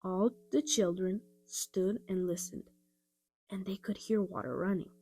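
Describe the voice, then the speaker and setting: A woman narrating a children's audiobook in an expressive storytelling style. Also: medium pitch, a little slow, breathy edge, soft background music. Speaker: storybook reader.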